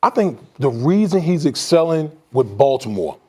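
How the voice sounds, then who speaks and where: Ex-player guy, high jumpy voice, gets all fired up. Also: moderate pace, breathy edge. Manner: excitable, emphatic. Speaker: former player turned analyst. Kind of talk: sports debate.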